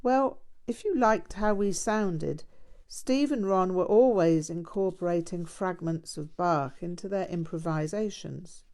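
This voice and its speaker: A woman voicing an earnest, literal-minded young male blues musician in a memoir, matter-of-fact and deliberate. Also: on the low side, darkish tone. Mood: explaining.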